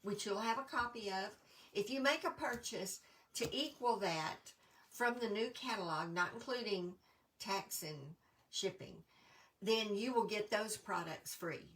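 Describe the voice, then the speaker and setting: A middle-aged woman with a stuffy, congested voice, chatting casually to viewers, with lots of ums and throat clearing. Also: mid-range voice, unhurried, a bit bright, distant mic, slight background noise, poor audio. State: congested from allergies. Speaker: crafting demonstrator. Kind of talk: casual live-stream chat.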